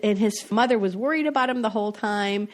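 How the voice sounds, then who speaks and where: A woman's voice: medium pitch, fairly quick. A middle-aged woman, a foreign correspondent, chatting casually as a podcast guest and laughing often between her points. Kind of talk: casual interview chat.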